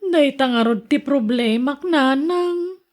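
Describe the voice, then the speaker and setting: High-pitched nagging Ilocano wife played by a woman. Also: slow, a little mellow. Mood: pleading. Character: nagging, jealous wife, hardworking and exasperated. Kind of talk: radio drama acting.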